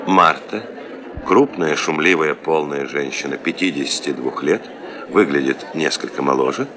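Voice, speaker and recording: A man giving scripted narration as a radio play announcer, formal and measured in his delivery. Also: rumbling low, crowd noise.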